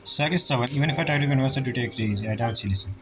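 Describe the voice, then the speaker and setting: A man giving halting, think-aloud gameplay commentary as a streamer, with music playing behind him. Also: medium pitch, noisy background. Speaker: gaming streamer. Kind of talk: game commentary, reacting and narrating.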